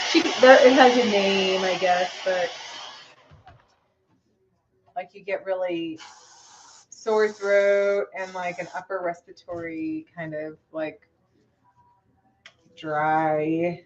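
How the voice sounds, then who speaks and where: A woman chatting casually on a live stream as she paints fishing lures, rambling and hesitant with lots of fillers, her voice a bit hoarse. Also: on the low side, dull audio, a little hiss or hum. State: slightly hoarse after losing her voice. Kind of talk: casual live-stream chat while working.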